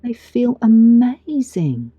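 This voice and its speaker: A woman guiding a meditation in a soothing, gentle, deliberate way with long pauses, recorded with a muffled sound. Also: a little high, big pitch swings, breathy edge, no echo. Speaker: meditation guide. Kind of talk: guided meditation narration. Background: background music.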